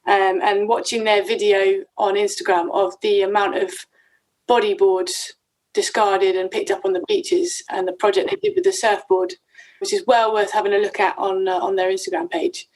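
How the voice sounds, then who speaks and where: A woman speaks as the host of a conversational interview. Her voice is of average pitch, and she talks informally, feeling her way through her phrases a little hesitantly. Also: normal speed, a little mellow, poor audio.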